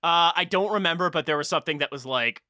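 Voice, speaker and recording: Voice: mid-range voice, fast, bright, forceful tone, no room sound, clean studio sound. A podcast co-host chatting casually and reading bits aloud, joking and mock-dramatic.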